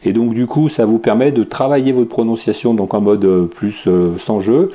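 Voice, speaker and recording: A man's voice: lowish voice, fairly even intonation, moderate pace, warm tone. A French man hosting a podcast, casually reviewing tech and games with many fillers and restarts. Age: adult with children. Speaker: podcast host.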